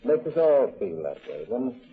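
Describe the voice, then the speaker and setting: A man with a very rough, unclear voice conducts an informal interview. He feels his way earnestly through his questions, pausing often. The sound is a thin, tinny vintage radio recording. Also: medium pitch, very animated. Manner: hesitant, searching, earnest.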